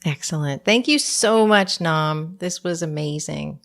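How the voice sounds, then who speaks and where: Low-voiced woman podcast host. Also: unhurried, vocal fry, no echo, studio quality. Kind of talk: interview and solo podcast segment.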